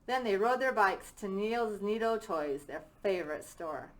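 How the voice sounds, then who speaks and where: A woman's voice: mid-range voice, animated, a little slow, poor audio. A woman teacher reading a children's story aloud as a narrator.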